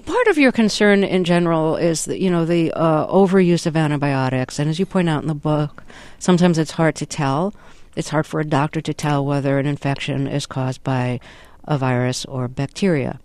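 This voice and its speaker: A woman's voice: low voice, normal speed, a little mellow, no echo. Woman with a cold asking curious questions. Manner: curious, conversational questioning with hesitations. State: has a cold and losing her voice. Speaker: interviewer. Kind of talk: radio interview. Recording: dry close-mic studio sound.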